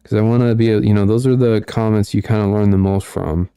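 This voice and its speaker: Low gravelly monotone man. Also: a little fast, no room sound, studio quality. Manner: monotone delivery. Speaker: host. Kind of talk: casual commentary reading viewer comments.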